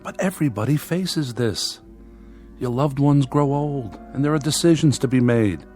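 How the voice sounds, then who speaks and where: An actor playing a blunt, practical but concerned husband in a radio drama, reasoning and persuasive. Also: male voice, mid-range voice, lively intonation, moderate pace, a little mellow, lightly acted. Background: music.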